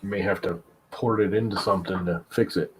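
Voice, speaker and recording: Low-voiced man chatting casually on stream. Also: steady tone, brisk, slight background noise, low-quality recording. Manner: rambling, swears casually, tells stories with mimicry. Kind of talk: casual chat while 3D printing.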